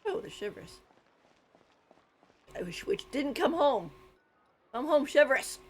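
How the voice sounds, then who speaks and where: High-voiced, unhurried woman game streamer. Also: big pitch swings. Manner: giggly, playful. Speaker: game livestreamer. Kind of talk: casual reactive game commentary.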